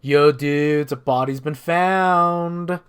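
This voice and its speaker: A man's voice: on the high side, extremely slow, a bit bright, clean tone. A laid-back robot bear from a video game, as a man recaps him. He announces grim rules in breezy slang, casually threatening. The delivery stays loose and conversational. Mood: breezy menace.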